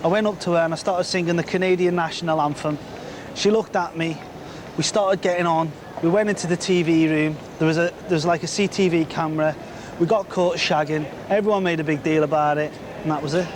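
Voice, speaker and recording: A man's voice: on the high side, fairly even intonation, fairly quick, background noise. A man in his twenties talking in a brash, crude, casual way, swearing freely. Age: 20s. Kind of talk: casual interview chat.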